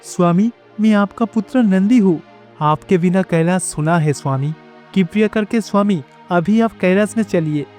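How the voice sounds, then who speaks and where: A man's voice: high voice, moderate pace, darkish tone, faint music behind, close to an everyday voice. A man playing a devoted, earnest bull attendant of the gods in a storybook tale. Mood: pleading. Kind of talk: storybook character dialogue.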